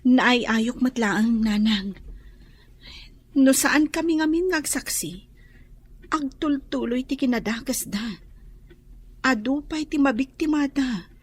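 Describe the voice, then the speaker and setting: Radio drama heroine: young, bashful, sincere, slightly raspy high voice, Filipino accent. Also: female voice, slow pace, long pauses, exaggerated voice. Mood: earnest, resolute. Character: earnest, modest young daughter, brave crime witness.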